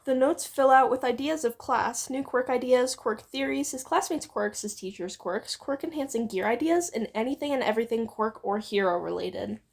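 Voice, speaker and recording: A woman's voice: on the high side, normal speed, creaky, hardly any pauses. A young woman giving a giggly, informal read-aloud of fan fiction with playful banter. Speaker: co-reader on a fan fiction reading channel.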